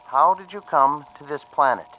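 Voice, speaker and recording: An actor playing a formal, exacting official who questions deliberately and ceremoniously in a radio drama. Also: male voice, fairly high pitch, highs cut off, crowd noise, lightly acted. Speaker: official presiding over a demonstration.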